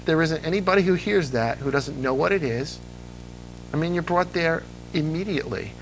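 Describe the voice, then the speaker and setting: A middle-aged American man with a slightly high, gravelly voice chats as an interview guest. He tells stories emphatically, circling back on phrases to drive them home. Faint music plays behind him. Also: normal speed, low-quality recording. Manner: emphatic, repeats phrases for effect. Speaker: interview guest, old-time radio fan. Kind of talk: animated conversational storytelling.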